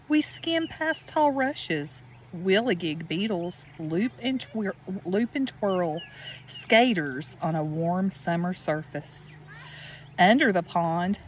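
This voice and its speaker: Lady teacher reading a kids book outside by a pond, takes it slow, bit of creek and animal noise in the back. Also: lowish voice. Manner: instructive, addressing children with questions. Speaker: elementary school teacher reading aloud. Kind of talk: read-aloud lesson for young children. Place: outdoors by a farm pond. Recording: outdoor recording with background nature noise. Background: running creek, animal sounds.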